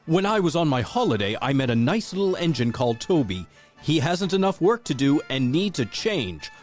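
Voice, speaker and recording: An actor playing a pompous, blustering railway controller in an audio storybook, speaking emphatically. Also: male voice, on the high side, big pitch swings, moderate pace, faint music behind. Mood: delighted. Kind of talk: audio storybook character acting.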